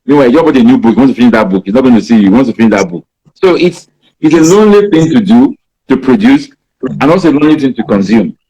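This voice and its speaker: A Nigerian man in his fifties, a native Igbo speaker, answers interview questions about writing in a rambling way, filling pauses with "you know". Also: mid-range voice, animated, dark tone, slightly rough, rough recording. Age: 50s. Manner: rambling, many "you know" fillers and restarts. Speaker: writer being interviewed.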